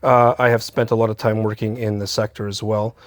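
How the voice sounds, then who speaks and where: A man's voice: on the low side, little pitch movement, no room sound. Candid man speaking frankly, dry sound. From Chile. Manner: open, measured, speaks frankly about recovery. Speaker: community safety consultant. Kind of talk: candid interview conversation. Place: theatre stage, live show.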